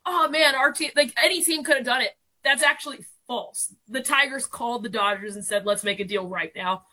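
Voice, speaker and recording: Female sports analyst, twenties, bright and fairly high voice, informal, emphatic commentary. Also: brisk. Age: 20s. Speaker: sports radio guest analyst and producer.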